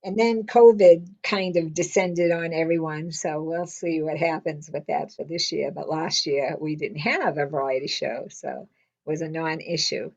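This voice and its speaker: An older woman in semi-retirement telling a casual story with a loud, blunt way of talking, acting out what people said. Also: deep voice, normal speed, muffled recording. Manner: lively, blunt, quotes people in her stories. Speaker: interviewee.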